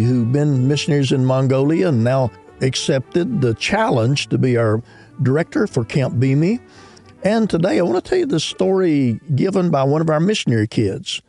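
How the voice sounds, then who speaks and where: A man hosting a short religious radio program, reading a missionary's story aloud in a steady, measured way. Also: fairly high pitch, no room sound. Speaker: radio ministry host.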